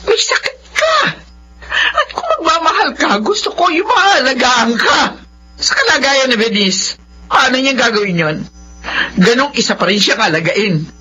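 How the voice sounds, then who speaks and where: A woman's voice: high-pitched, very animated, moderate pace, bright, forceful tone, a little hiss or hum, full character voice. A woman playing a protective, disapproving mother with a Filipino accent in an emphatic, pleading radio drama style. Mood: tearful pleading. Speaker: drama voice actor. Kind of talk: melodramatic radio drama acting. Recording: dull lo-fi audio with music.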